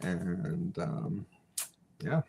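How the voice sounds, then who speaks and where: Stuffed-up guy with a lowish voice, laid back and hesitant, co-hosting over a call. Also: drawn-out words, gravelly, warm tone. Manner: easygoing, hesitant with fillers. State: congested from a cold. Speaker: co-host. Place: home studio in New York.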